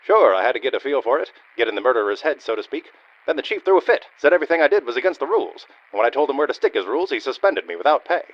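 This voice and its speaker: A man's voice: mid-range voice, sing-song, rapid-fire. A man playing a cynical, boneheaded private detective in a comic noir radio play, blustering in wordy tough-guy slang. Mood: gruff, cocky. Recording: dull radio-style sound with faint music.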